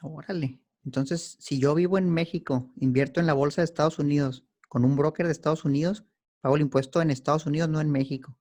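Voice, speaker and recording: Man, Mexican Spanish accent, host, curious, recaps answers, somewhat high voice. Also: fairly even intonation, fast talker, darkish tone. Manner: curious, sums up answers back. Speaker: host interviewer. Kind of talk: interview questions.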